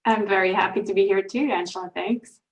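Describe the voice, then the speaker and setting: Informal woman from Switzerland, mid pitch. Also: fairly even intonation, a little fast, low-quality recording. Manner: informal, explanatory. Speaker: interview guest, business coach and trainer. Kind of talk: conversational interview answers. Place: remote online call.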